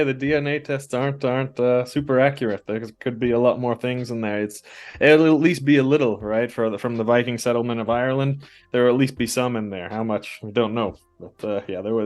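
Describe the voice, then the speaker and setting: This young man chats over a call in a middle-pitched voice, easygoing and unhurried. He stumbles and repeats words as he thinks aloud, and faint music sits behind him. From Norway and the US. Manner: relaxed, halting, many restarts and repeated words. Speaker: guest. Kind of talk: casual conversation.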